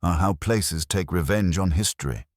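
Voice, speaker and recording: Man playing a wry, very deep-voiced police inspector. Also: normal speed, no echo, studio quality, lightly acted. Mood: wry, probing. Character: courteous, wry, quietly probing male police inspector.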